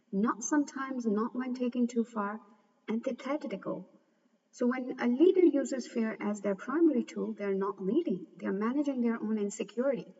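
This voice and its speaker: A woman hosting a book review, talking through its ideas in an earnest, measured way and stumbling now and then. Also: a little high, warm tone. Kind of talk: reflective book commentary. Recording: dull, echoey recording from a distance.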